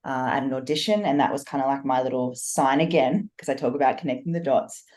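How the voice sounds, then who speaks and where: Very low-voiced woman. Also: fast talker, darkish tone. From Australia. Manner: earnest, reflective, talks in long flowing stories. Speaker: podcast guest, business coach. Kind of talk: personal storytelling in a podcast interview.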